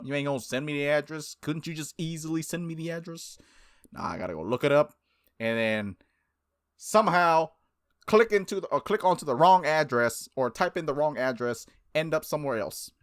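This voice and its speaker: A gaming host reading visual novel text aloud and commenting on it casually, in an animated, playful, slangy way. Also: medium pitch, no room sound, studio quality.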